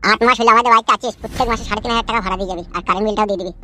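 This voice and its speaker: A man plays a bullying young landlord from a village cartoon. His delivery is sharp, very rapid and coarse, always demanding and spiteful. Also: high-pitched, steady tone, slight background noise. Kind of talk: cartoon comedy dialogue.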